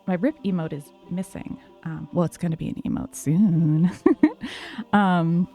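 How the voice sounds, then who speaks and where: A woman livestreaming a game, talking calmly and softly to her chat with lots of fillers. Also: fairly low pitch, unhurried, soft background music. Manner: soft, calm, many fillers. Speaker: streamer.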